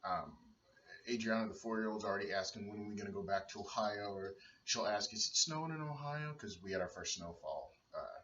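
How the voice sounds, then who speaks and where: A man in his thirties with a very low voice talks through a casual home video diary, rambling and halting with frequent fillers. He sits back from the camera, so his voice sounds distant and roomy. The recording is dull and low-fi, with faint noise behind him. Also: fairly bright tone. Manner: rambling, hesitant with many fillers and restarts. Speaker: vlogger and father. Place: dim room at home.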